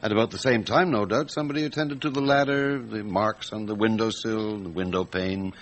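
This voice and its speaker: A man playing a patient, shrewd police inspector in a radio drama, questioning courteously and deliberately. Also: lowish voice, fairly even intonation, close to an everyday voice. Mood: measured, probing.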